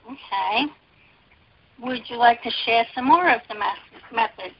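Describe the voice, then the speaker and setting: A woman hosting an interview, talking in an earnest, personal and slightly halting way. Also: a little high, a little hiss or hum. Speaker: interview host. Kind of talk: casual interview chat. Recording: dry, dull lo-fi recording.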